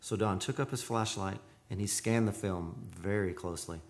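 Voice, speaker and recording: A man's voice: lowish voice, normal speed, lightly acted. An actor playing an earnest, lovestruck man who narrates a haunted romance in poetic radio-play style. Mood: measured storytelling. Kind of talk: radio play acting and dramatic first-person narration. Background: music.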